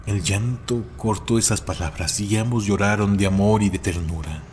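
A man with a deep voice narrates a scripted legend as a storyteller. His phrasing is solemn and deliberate, with dramatic emphasis on key words. Clear ambient noise runs behind the voice. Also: darkish tone. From Mexico.

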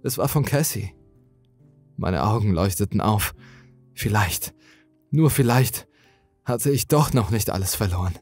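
Slow-paced man narrating horror over music. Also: fairly low pitch, expressive, a little mellow, no echo. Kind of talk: dramatic scripted narration.

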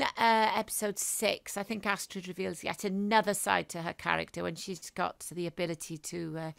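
A woman's voice: medium pitch, vocal fry, a bit bright, no echo. A woman actor chatting casually about an audio drama she performs in. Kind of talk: casual chat.

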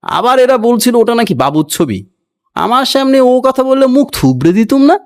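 A man's voice: extremely high pitch, very animated, normal speed, pitched above the performer's own voice, full character voice. A man voicing a fawning, blustering male servant in a storybook tale, exclaiming dramatically. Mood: furious.

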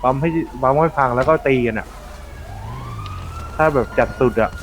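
A man's voice: mid-range voice, normal speed. A man in casual gaming chat, explaining things bluntly and often repeating himself. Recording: faint siren and vehicle noise. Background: game sirens and traffic.

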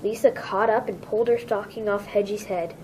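A woman's voice: mid-range voice, fairly even intonation, a little fast, a little mellow, a little hiss or hum, poor audio. A woman narrating a children's storybook, reading it aloud.

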